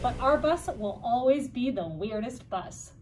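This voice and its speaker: A man's voice: very high voice, expressive, unhurried, pitched above the performer's own voice. A man voicing schoolchildren who chime in with remarks in a picture book. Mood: chiming in. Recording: distant with loud background noise.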